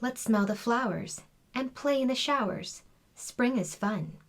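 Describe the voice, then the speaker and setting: A woman's voice: a little high, a little slow, pitched above the performer's own voice, close to an everyday voice. A woman reading a storybook, voicing a confident, bossy little schoolgirl with an assured manner. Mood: proud, reciting.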